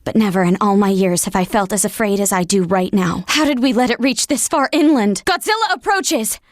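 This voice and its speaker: A woman's voice: medium pitch, brisk, no room sound, clean studio sound, natural voice. A woman playing an anxious military operator in a video game, giving clipped, dramatic reports on a monster threat. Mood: afraid, composed. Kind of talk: video game voice acting, urgent radio-briefing dialogue.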